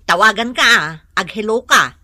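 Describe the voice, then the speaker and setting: A woman's voice: medium pitch, animated, normal speed, bright, forceful tone. A woman acting in a comedic radio drama as a bossy, impatient schoolteacher wife, nagging and scolding. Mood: impatient coaching.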